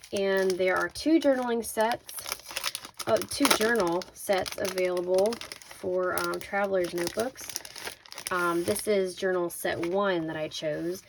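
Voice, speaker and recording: A woman with a slightly raspy voice hosting a casual craft unboxing, chatting informally and thinking aloud as she shows each item. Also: lowish voice, steady tone, takes it slowly. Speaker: craft video host. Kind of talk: casual unboxing product walkthrough. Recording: noisy, with crinkling handling sounds.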